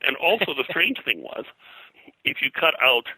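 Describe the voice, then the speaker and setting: A man's voice: mid-range voice, sing-song, moderate pace, sharp tone, breathy edge, dry recording, rough recording. An American man speaking as an interview guest, a pop culture historian telling detailed anecdotes in a rambling, digressive way.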